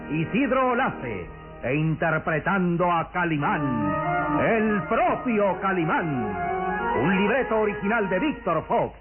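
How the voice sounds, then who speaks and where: A tinny old radio recording, very loud music underneath. A male announcer declaims titles grandly in a high voice with sweeping intonation.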